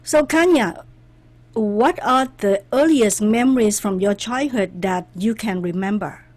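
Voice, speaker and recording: A woman hosting an interview, reading a guest introduction aloud and then asking questions in a deliberate way. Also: mid-range voice, a little mellow. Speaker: interview host.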